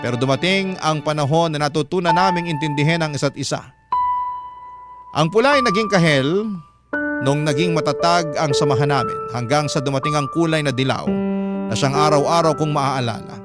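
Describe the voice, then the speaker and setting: A man narrating a letter as a radio drama host, sentimental and dramatic, with drawn-out phrasing. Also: fairly high pitch. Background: prominent music.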